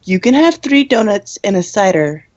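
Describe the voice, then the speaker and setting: A woman's voice: medium pitch, big pitch swings, normal speed, no room sound, lo-fi sound. A woman playing a sensible, dry teenage vampire who co-hosts a school radio show in an amateur radio play. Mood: deadpan. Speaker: student radio host.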